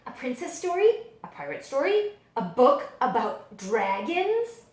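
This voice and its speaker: A woman voicing excitable, eager young schoolchildren from a picture book, exclaiming in a drawn-out, squealing way. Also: high-pitched, reverberant, voice pushed up.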